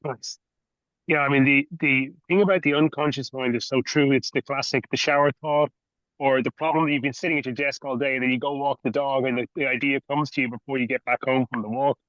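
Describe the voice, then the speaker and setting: An Irish man speaks at a middling pitch as a podcast interviewer, chatting conversationally. The recording is very dry and slightly dull, with a lo-fi edge. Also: steady tone, brisk, a bit bright, dry recording. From Ireland.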